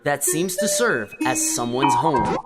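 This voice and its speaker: A woman's voice: very deep voice, animated, a little slow. A woman giving scripted narration for a science fiction radio play. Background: loud music.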